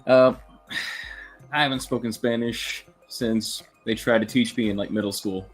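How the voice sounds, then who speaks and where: A man's voice: medium pitch, steady tone, measured pace, breathy edge, faint music behind, poor audio. Crude, joking man in casual banter.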